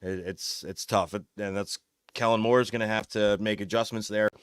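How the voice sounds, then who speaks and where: A man co-hosting a sports podcast, speaking in a measured, slightly hesitant way, his voice a little raspy from screaming the night before. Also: fairly low pitch, fairly even intonation, no echo, studio quality. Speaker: podcast co-host. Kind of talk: casual sports chat.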